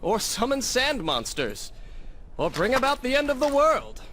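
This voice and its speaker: An actor playing a brooding, sardonic young warrior prince with a vengeful streak in a video game cutscene. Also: male voice, extremely high pitch, huge pitch swings, measured pace, a bit bright, a little hiss or hum, full character voice.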